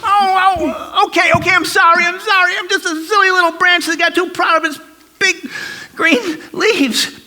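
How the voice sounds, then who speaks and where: A performer voicing a proud, silly little vine branch in a theatrical storybook skit, with whiny, melodramatic, halting delivery. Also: very high voice, steady tone, pushed and bright, exaggerated voice. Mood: desperate pleading. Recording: long hall reverb.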